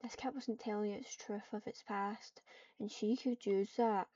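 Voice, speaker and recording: A woman narrating a roleplay out loud as she plays, with typing and clicking behind her. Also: mid-range voice, fairly even intonation, unhurried. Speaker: online roleplay player. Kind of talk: narrating text roleplay aloud while typing. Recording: muffled lo-fi mic with keyboard clatter. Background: clicks and keyboard typing.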